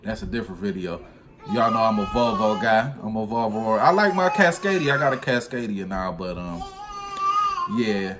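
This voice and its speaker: A man's voice: fairly low pitch, animated, normal speed, heavy background noise. A man in a casual, slangy storytelling chat, speaking informally with some swearing as a trucker vlogger.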